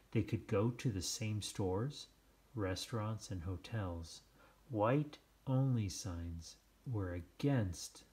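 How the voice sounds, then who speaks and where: A man reading a children's book aloud, with measured, deliberate phrasing and dramatic pauses. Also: on the low side, a little mellow. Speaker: storybook reader. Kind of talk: scripted children's read-aloud narration.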